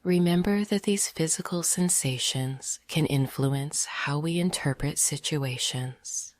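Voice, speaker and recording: A woman leading a guided meditation in a soft, half-whispered, gentle and soothing voice. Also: sits low, measured pace, no room sound.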